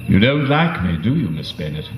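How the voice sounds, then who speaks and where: A man playing a proud, formal young gentleman suitor in a staged radio drama. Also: sits low, big pitch swings, normal speed. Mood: courting. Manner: formal, courteous. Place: on stage at a vintage-style microphone. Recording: roomy stage microphone, some noise.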